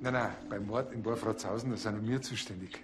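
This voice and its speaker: A man with a Bavarian accent playing a sly village policeman, laconic and deadpan, in a TV drama. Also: on the low side, a little fast, loud music behind the voice, lightly acted. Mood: dry.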